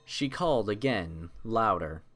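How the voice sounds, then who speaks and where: Low-voiced man narrating horror fiction. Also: lively intonation, measured pace, a bit bright. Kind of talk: scripted horror story narration. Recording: music clearly behind the voice.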